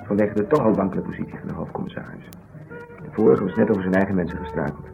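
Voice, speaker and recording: An actor playing a defensive, exasperated journalist husband with an emphatic, sardonic manner, in naturalistic radio drama style. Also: male voice, low-pitched, racing, gravelly. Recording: dull 1970s radio, music behind.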